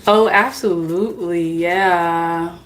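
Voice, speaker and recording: Woman, english as second language, tarot live host, slow casual chat, faint background hiss. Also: on the low side, steady tone. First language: not English. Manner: chatty, laughs at herself, greets viewers by name. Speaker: tarot reader hosting a live stream.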